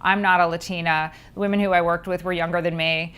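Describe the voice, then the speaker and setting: A middle-aged woman, a researcher and author, answering interview questions in a thoughtful, measured way with frequent ums. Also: fairly low pitch, steady tone, a bit bright, clear, resonant tone.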